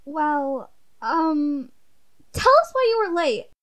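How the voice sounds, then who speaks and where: A woman's voice: high voice, big pitch swings, takes it slowly, fairly bright tone, exaggerated voice. A woman voicing a shy, curious teenage girl, the best friend in an audiobook drama. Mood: flustered. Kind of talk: audiobook character acting.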